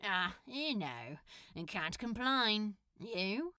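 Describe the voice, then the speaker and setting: Woman voicing a bright, laid-back male hero. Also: medium pitch, very animated, slow, no echo, studio quality, exaggerated voice. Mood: casual. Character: laid-back, friendly male superhero captive. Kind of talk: fan fiction audiobook character.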